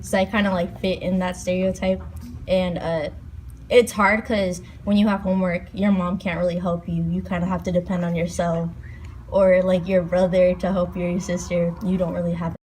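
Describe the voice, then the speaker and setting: A woman's voice: on the low side, flat delivery, moderate pace, a little mellow, a little hiss or hum, low-quality recording. A young woman from Portland, Oregon, speaking casually with many fillers in an interview. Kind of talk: interview testimony.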